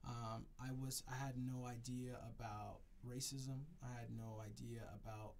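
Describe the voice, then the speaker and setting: A man's voice: mid-range voice, level tone, moderate pace, darkish tone, slight background noise. A man from Jamaica, a DJ, talking in a casual interview and repeating himself to stress his points. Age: adult, moved to America as a child. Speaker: DJ, interview guest. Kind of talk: reflective casual interview talk.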